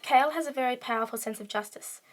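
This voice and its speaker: A woman's voice: on the high side, fast talker. A woman podcast host reading a scripted analytical essay about fiction aloud. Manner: reading aloud from a prepared text. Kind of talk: scripted essay narration, film and book analysis.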